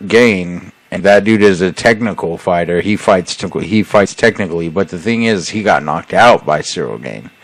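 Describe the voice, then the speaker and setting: A young man with a very deep voice, talking easily with a buddy and explaining things in a laid-back way. The mic is close and dry, with no echo around him. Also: expressive, normal speed, few pauses. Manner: laid-back, explaining, says bro and dude. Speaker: podcast host. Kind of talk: casual chat.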